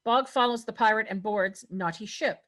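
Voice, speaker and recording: A woman with a medium-pitched voice reads scene headings and action lines. It is scripted narration for a table read. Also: moderate pace, fairly bright tone. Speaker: narrator reading stage directions.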